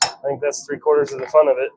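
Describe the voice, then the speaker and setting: A man's voice: medium pitch, flat delivery, fast talker, heavy background noise. A man chatting informally on a livestream while he works, talking half to himself and half to viewers. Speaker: livestream host, hobby blacksmith. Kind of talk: casual livestream chat while working. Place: home forge workshop.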